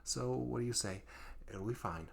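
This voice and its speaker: A man's voice: mid-range voice, normal speed, vocal fry, close to an everyday voice. A man voicing a hapless, sweet but clingy young yandere student in a comedic audio roleplay, flustered, stammering and pleading. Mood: flustered bargaining.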